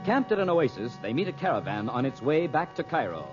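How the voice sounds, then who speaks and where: Animated American old-time radio announcer, man. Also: mid-range voice, brisk, music in the background. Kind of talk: 1940s radio announcing, scripted ad and story recap. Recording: muffled old radio recording.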